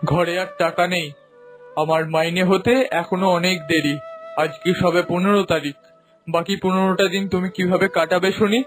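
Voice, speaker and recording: A man's voice: sits high, flat delivery, normal speed, music in the background, natural voice. A man voicing an earnest, anxious husband in a cartoon fairy tale, pleading and moralizing with emphasis. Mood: worried.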